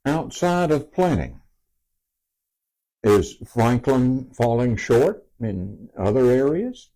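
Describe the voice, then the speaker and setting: A man's voice: medium pitch, big pitch swings, slow, warm tone. A man in his seventies asks questions in a friendly, easygoing way. His voice rises and falls a great deal as he speaks. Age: 70s. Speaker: interviewer. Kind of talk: casual interview.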